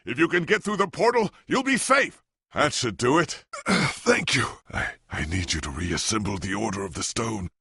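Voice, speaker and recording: An actor playing a noble, ageing adventurer hero in a video game, solemn and earnest, with a gravelly, husky voice and a grave, formal, dramatic delivery. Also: male voice, medium pitch, sing-song, normal speed, sharp tone, no echo, clean studio sound, heavily acted. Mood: urgent.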